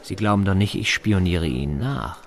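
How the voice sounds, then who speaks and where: An actor playing an insistent, menacing snoop with a grudge, in a naturalistic radio drama, formally polite and full of pointed questions. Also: male voice, low-pitched, lively intonation, moderate pace. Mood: needling, falsely polite. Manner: formal address, pointed rhetorical questions, needling. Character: insistent, menacing snooping man with a grudge against big shots.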